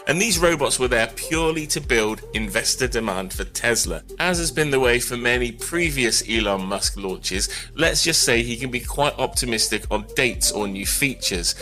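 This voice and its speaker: Bright, forceful man, wry tech commentary. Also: medium pitch, animated, moderate pace, hardly any pauses. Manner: wry, opinionated. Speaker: technology news commentator. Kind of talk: conversational news commentary. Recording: music bed under the voice. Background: background music.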